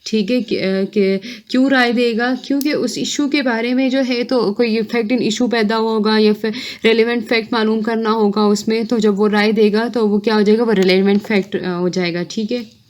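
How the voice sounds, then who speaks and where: A woman's voice: on the high side, fairly even intonation, moderate pace, darkish tone, slight background noise. Woman giving an informal law lecture. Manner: explanatory, often checking understanding with short tags. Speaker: law lecturer, online teacher. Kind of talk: informal lecture explaining legal articles, reading out and paraphrasing.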